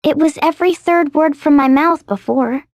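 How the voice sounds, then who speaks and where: A woman playing a spirited, caring teenage warrior girl in a video game, outspoken and expressive. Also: high-pitched, moderate pace, dry recording, clean studio sound. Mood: reflective.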